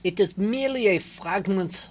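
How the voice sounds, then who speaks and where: A man's voice: high voice, normal speed, lightly acted. A man playing a clever, courtly detective in a mystery play, with a French-speaking European accent and a formal, theatrical manner. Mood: composed, knowing. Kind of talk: radio mystery play acting.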